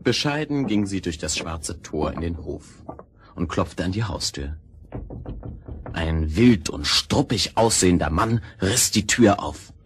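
A man narrating a scripted fairy tale in a husky voice, measured and expressive. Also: low-pitched, a little hiss or hum.

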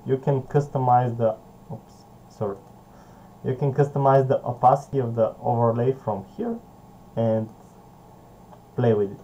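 A young man with a Bulgarian accent, not a native English speaker, leading a screen-recorded software tutorial in a halting way, with fillers and soft laughs. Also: medium pitch, fairly even intonation. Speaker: software company founder. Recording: dull, lo-fi home recording with faint hiss.

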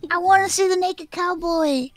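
A woman's voice: very high voice, moderate pace. A woman joking playfully in a casual group chat.

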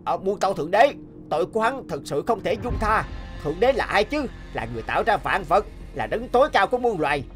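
A man plays a loyal cartoon angel warrior, high in pitch and animated. The manner is indignant and emphatic yet deferential. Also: quick pace, soft background music. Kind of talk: cartoon voice acting.

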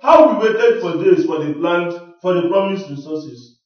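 A man's voice: high-pitched, expressive, moderate pace. An actor with a Nigerian accent playing an aggrieved villager who rouses his people with rhetorical declamation in a radio drama. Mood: aggrieved, rousing. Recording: dull, echoey, distant from mic.

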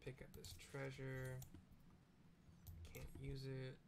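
A middle-aged man casually describing what happens in a video game as he plays, in a halting, conversational way. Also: medium pitch, completely flat delivery, creaky, background noise. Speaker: game streamer, narrator. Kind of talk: casual play-by-play with audio description of gameplay. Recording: loud game music behind voice. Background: loud game music.

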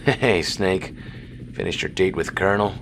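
Male actor as special forces sergeant, deep, creaky, self-assured, precise, audio drama. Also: normal speed. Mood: cocky, eager. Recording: noisy helicopter ambience behind voice.

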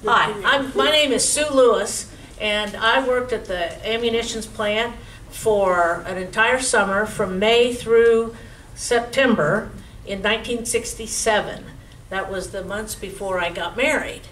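An older woman in her seventies with a loud voice tells stories of her old job in a chatty, animated way. She is speaking into a handheld mic in a meeting room. The camera sits across the room, so her voice sounds distant and roomy, with a faint hiss underneath. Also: mid-range voice, unhurried. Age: 70s. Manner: chatty, animated storyteller with gestures. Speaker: guest speaker. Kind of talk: recounting memories to an audience. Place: community museum meeting room, indoors. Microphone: handheld mic, far camera.

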